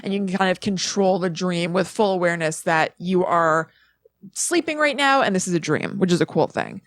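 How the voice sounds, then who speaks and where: A woman's voice: lowish voice, lively intonation, normal speed, no echo, clean studio sound. A woman co-hosting a podcast, explaining things in a casual, informal, musing way with plenty of filler words. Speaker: podcast co-host presenting research.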